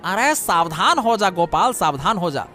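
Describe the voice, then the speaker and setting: A man's voice: sits high, very animated, a little slow, sharp tone, faint music behind, heavily acted. A man voicing a boastful, scheming village fish seller in a cartoon story, with a taunting manner. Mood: warning. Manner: mocking, taunting.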